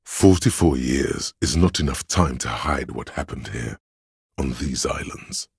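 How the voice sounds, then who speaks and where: Very deep, erudite encyclopedic inner voice. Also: male voice, animated, creaky, husky, no echo, studio quality, heavily acted. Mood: measured, informative. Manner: measured, erudite, declarative delivery. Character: encyclopedic inner voice of trivia, learned, solemn. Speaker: video game character voice. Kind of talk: scripted game narration.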